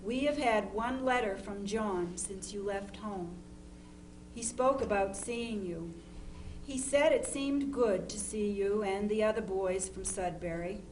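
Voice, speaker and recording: A woman acts as a loving mother of the Civil War era, reading a letter to her soldier son. She takes her time, with measured care and gentle worry. A faint hum sits under the recording. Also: mid-range voice, close to an everyday voice, low-quality recording. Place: live historical society presentation. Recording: faint hum and background noise.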